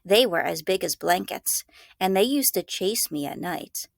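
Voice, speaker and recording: A woman voicing a helpful, chatty girl cousin in a storybook, animated and reassuring. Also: fairly low pitch, unhurried, vocal fry, dry recording, studio quality. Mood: friendly, animated.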